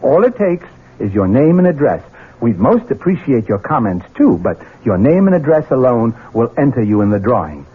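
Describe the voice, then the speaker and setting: Very husky American radio announcer, man. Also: medium pitch, sing-song, moderate pace. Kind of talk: scripted 1970s radio promotional announcement. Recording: muffled 1970s radio broadcast.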